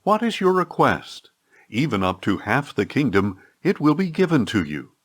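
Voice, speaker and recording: A man's voice: mid-range voice, big pitch swings, moderate pace. A man voicing a commanding, generous king in an audiobook scripture reading, with an emphatic, regal delivery. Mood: generous, inquiring. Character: commanding, generous king offering favors to his queen.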